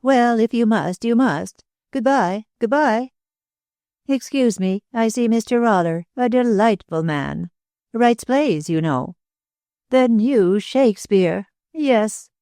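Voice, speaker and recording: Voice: mid-range voice, expressive, slow pace, darkish tone, dry recording, clean studio sound, pitched above the performer's own voice. A reader voicing a middle-aged society hostess who gushes and babbles with shallow enthusiasm. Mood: gushing. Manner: effusive, babbling, emphatic. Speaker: literary hostess.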